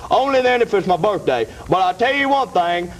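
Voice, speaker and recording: A man's voice: high-pitched, lively intonation, a little fast, rough recording. A man giving a rambling comic testimonial in a folksy, repetitive, emphatic way.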